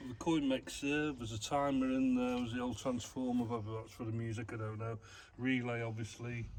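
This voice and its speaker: A man's voice: medium pitch, level tone, moderate pace. A man with an English accent explaining things casually in an informal, matter-of-fact and slightly hesitant way. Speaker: restorer explaining an old machine. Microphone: camera held by another person a short way off. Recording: background noise, handheld camera sound.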